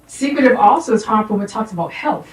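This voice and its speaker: A woman's voice: medium pitch, fast talker. Middle-aged American woman, professor type, emphatic public speaker, distant mic in a live room. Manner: emphatic, instructive, checks in with listeners. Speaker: speaker, sociology professor giving a presentation. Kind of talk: informal public talk to a community audience. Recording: roomy, distant microphone with faint background noise.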